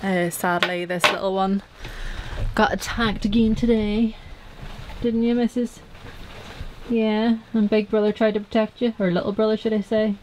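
A woman's voice: medium pitch, fairly even intonation, moderate pace, darkish tone, slight background noise, rough recording. A young woman vlogging casually from a small boat cabin, chatty and rambling with lots of fillers. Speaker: sailing vlogger. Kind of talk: casual chat to camera. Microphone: handheld camera at arm's length.

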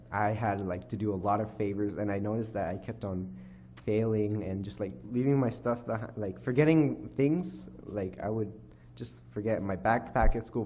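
Soft-toned teenage boy giving a halting testimony. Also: lowish voice. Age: teens. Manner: halting, many fillers and restarts. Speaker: youth giving a church testimony. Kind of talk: personal testimony speech. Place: church hall, indoors. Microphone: microphone on a lectern stand.

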